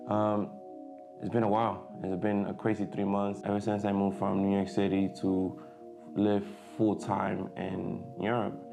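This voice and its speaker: A man's voice: on the low side, monotone, unhurried, vocal fry, music in the background. A young man, a native Spanish speaker from the Dominican Republic, chatting casually to camera in a vlog, hesitant with many fillers and restarts. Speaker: vlogger.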